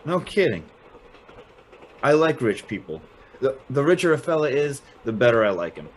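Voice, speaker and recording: A man's voice: mid-range voice, very animated, normal speed. A man playing an eager, naive young prep school student with a folksy, chatty manner in an old-time radio drama. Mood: impressed, eager. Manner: folksy, chatty, hesitant narrating and exclaiming.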